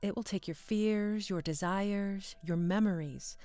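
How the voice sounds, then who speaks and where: A woman voicing a calm, commanding professor of magic, measured, solemn and gentle but firm, in a fantasy story. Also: medium pitch, dry recording, pitched above the performer's own voice, natural voice.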